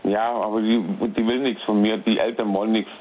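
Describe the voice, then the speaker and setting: A man in his thirties calling into a radio show, talking haltingly and repeating his words. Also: fairly low pitch, little pitch movement, darkish tone. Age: 30s. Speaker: caller. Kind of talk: phone call-in to radio. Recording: muffled lo-fi phone line.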